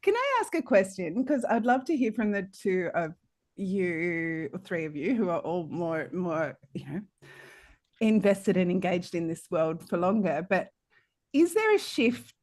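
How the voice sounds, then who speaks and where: A woman's voice: lowish voice, big pitch swings, a little slow, darkish tone. A woman in a conversational panel discussion, asking questions tentatively and searching for her words as she goes.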